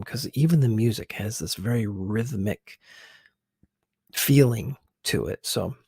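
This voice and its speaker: A man hosting a conversational ASMR commentary in a hushed, husky voice, halting as he searches for words. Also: mid-range voice, dry recording, studio quality. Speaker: video host.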